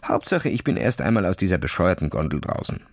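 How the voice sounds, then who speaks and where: A man's voice: low-pitched, big pitch swings, fast, dry recording, studio quality, pitched below the performer's own voice, heavily acted. A man voicing a cocky, impatient schoolboy who boasts and taunts in a children's audio story. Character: cocky, impatient, daredevil schoolboy acting cool.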